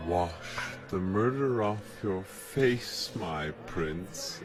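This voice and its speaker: A man voices a scornful young prince in a radio-style audio play, slow and brooding. The voice sits very low and the pace is very slow. Clear music plays underneath. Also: full character voice. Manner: slow, brooding, contemptuous delivery. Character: bitter, mocking young prince Hamlet.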